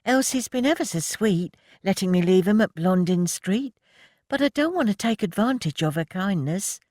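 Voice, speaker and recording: A reader voicing Rose, a gentle young woman who speaks softly and hesitantly. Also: medium pitch, animated, moderate pace, no echo, studio quality, voice pushed up, close to an everyday voice. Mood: grateful.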